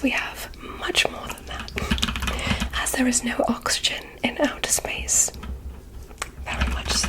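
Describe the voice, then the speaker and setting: A woman voicing a gentle, curious alien doctor in an ASMR medical roleplay, whispering soothing, softly formal instructions. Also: a little high. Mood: quietly fascinated. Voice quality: breathy whisper.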